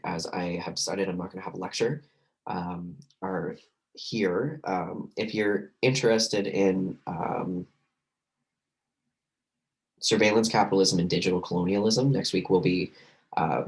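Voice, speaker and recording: A man lecturing on a recorded class, hoarse and losing his voice, talking loosely with many fillers and restarts. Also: sits low. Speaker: university lecturer.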